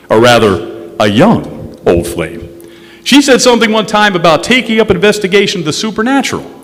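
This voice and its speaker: A wry male investigator , played by a stage actor, narrates his case like a 1950s radio detective. His fairly high voice swings widely in pitch, heard in a reverberant hall with music underneath. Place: stage in a historic courthouse hall.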